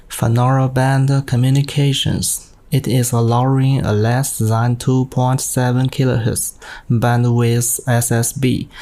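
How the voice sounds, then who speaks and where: A man with a Chinese accent, a native Chinese speaker, presents technical material from a script. His voice is dark and mellow, with very little rise and fall. He takes it slowly and reads in a stiff, careful manner. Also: medium pitch. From China. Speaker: amateur radio operator giving a technical presentation.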